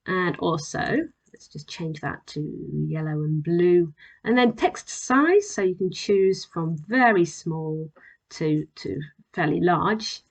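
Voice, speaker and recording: A woman presenting an instructional software demonstration as a voice-over, explaining plainly and step by step. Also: lowish voice, expressive, takes it slowly. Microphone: voice-over on a screen recording.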